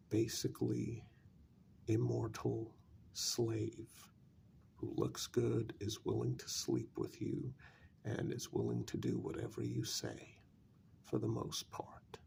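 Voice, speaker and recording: Guy doing a calm cold male vampire for asmr roleplay, really gravelly soft voice, slow and persuasive. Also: medium pitch, a little hiss or hum. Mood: coaxing, quietly menacing. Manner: slow, deliberate, pausing between phrases. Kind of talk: soft-spoken ASMR role-play.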